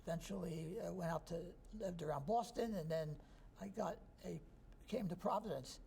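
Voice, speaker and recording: A man in his sixties from New Jersey, a history professor answering interview questions in a relaxed, thoughtful way. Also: sits high, normal speed, creaky, slight background noise. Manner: relaxed, thoughtful, chuckles easily.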